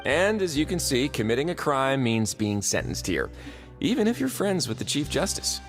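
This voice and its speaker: An actor playing a calm, courteous young duke who runs a prison, speaking with polished, gently wry politeness in video game dialogue. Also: male voice, mid-range voice, normal speed, soft background music.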